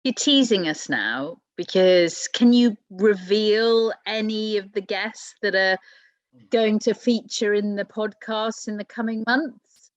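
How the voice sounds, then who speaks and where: A woman's voice: mid-range voice, slow, no echo. Friendly woman interviewer, dry sound. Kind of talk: conversational interview.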